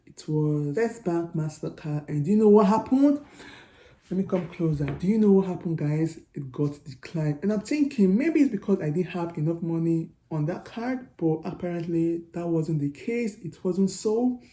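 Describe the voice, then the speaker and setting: A young Nigerian woman with a Nigerian accent, telling a story to her vlog viewers in a chatty, animated way. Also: fairly low pitch, moderate pace, roomy sound. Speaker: vlogger. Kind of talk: storytelling to camera.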